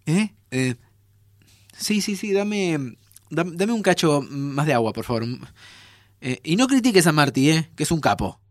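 An actor with a Rioplatense Argentine accent playing an emotional, playful time traveller and devoted friend in a naturalistic audio drama. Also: male voice, on the high side, sing-song, unhurried, no echo, clean studio sound. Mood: playful, flustered.

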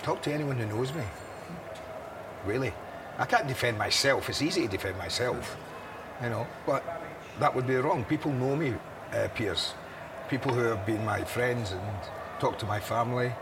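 A man's voice: mid-range voice, moderate pace. A man in his sixties, an interview guest, speaking candidly and earnestly, often repeating words as he gathers his thoughts. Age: 60s. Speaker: interview guest, former broadcaster. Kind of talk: candid storytelling. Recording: outdoor location, audible city noise.

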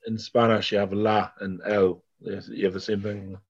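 A man chatting casually in a hesitant, halting way. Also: on the low side, flat delivery, slightly rough. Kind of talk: casual chat. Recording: dull, muffled lo-fi sound.

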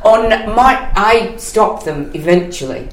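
A woman's voice: medium pitch, expressive, takes it slowly, roomy sound, poor audio. A woman, a comedy reviewer, telling blunt, sweary stories in a panel discussion.

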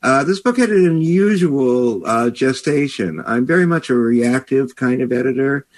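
A man speaking as an editor hosting a book talk, in a measured, articulate and slightly hesitant conversational way. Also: medium pitch, expressive.

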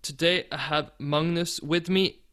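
A man's voice: a little high, fairly even intonation, measured pace, bright, forceful tone, smooth. A man hosting a podcast who gives an upbeat scripted introduction and then welcomes his guest. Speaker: podcast host.

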